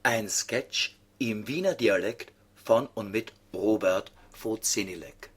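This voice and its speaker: A man with a husky voice reading a scripted title announcement. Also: lowish voice, takes it slowly.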